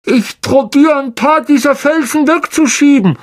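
A man reading an audiobook voices a frightened young schoolboy calling out, with a high, strained voice. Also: unhurried. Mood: forced calm. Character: frightened but brave young schoolboy wizard, the friend.

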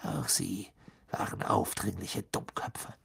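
A man's voice: on the low side, slow pace, gravelly, a bit bright, husky, no echo, in a whisper, studio quality, voice pushed down, full character voice. A man voicing a cold, haughty aristocratic villain with a contemptuous, hissing menace for a storybook. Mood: quiet menace.

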